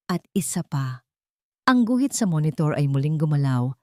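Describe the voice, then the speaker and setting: A woman's voice: sits low, sing-song, normal speed, dry recording, clean studio sound. A woman narrating a dramatic audio story in an earnest, emotive reading style.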